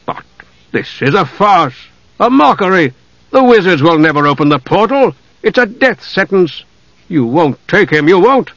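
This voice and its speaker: A man's voice: on the high side, normal speed, a bit bright, voice pushed up. A man voicing a big, hot-tempered father in desperate grief, emotional and demanding, in a storybook reading. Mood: desperate anger. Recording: roomy, dull audiobook sound.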